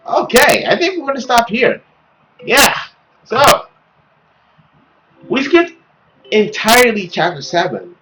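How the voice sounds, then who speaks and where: A young man hosting a gaming video with casual, expressive, exclamatory commentary. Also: extremely high pitch, takes it slowly, pauses often, background noise. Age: young adult. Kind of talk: casual let's play commentary.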